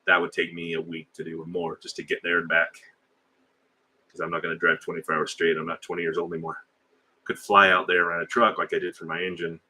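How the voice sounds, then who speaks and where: A man's voice: low-pitched, completely flat delivery, fast, sharp tone. A middle-aged man hosting a casual livestream, rambling and conversational with frequent fillers as he answers viewer comments. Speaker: livestream host. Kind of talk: casual live chat reading viewer comments.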